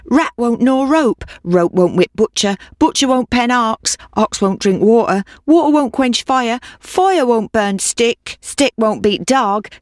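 A woman's voice: fairly high pitch, dry recording, clean studio sound, pitched above the performer's own voice. A woman voicing a pleading old woman who chants rhythmically in a nursery tale.

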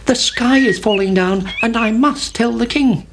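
Tiny worried male chick for a fairy tale female performer, high, earnest voice, chirping in background. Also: a little slow, background noise. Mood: alarmed. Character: anxious, breathless little male chick.

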